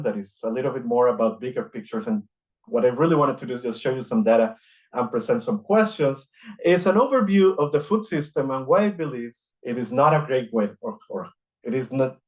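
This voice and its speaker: A middle-aged man from Costa Rica giving an academic lecture, reading from notes in a measured way with frequent "uh" fillers. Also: medium pitch. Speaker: guest lecturer.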